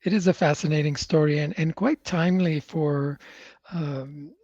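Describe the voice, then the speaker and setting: Higher-voiced man, dull dry sound. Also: steady tone, normal speed, a little mellow, dry recording. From born in Chile, grew up in the United States. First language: Spanish. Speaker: podcast guest, speaker and coach. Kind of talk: conversational personal storytelling. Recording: dull, slightly lo-fi dry microphone.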